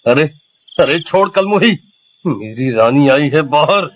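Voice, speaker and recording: A man voicing a crude, bossy, lecherous village husband in a cartoon story, gruff and dismissive in colloquial speech. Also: a little high, lively intonation, takes it slowly, dull audio. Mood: drunk, angry.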